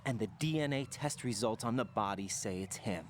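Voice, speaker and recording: A man's voice: on the low side, a little fast, slight background noise, natural voice. An actor playing an earnest, inquisitive young male operative in a video game cutscene.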